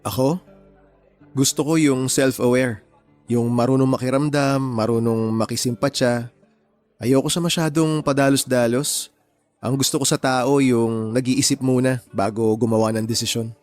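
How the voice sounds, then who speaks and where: An actor playing a brainy, introverted, considerate young man in a radio drama, speaking in a measured, gentle way. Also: medium pitch, steady tone, faint music behind, lightly acted. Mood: friendly, candid.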